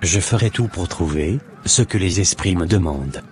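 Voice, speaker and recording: A man voicing a deceitful, persuasive false pastor who serves a dark master in a cartoon. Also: low-pitched, expressive, normal speed, a little hiss or hum. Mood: obedient. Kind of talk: dramatic animated dialogue.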